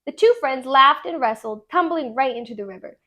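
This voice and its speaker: A woman narrating a children's storybook aloud in an expressive, deliberate storytelling manner. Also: sits high. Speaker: tutor reading aloud.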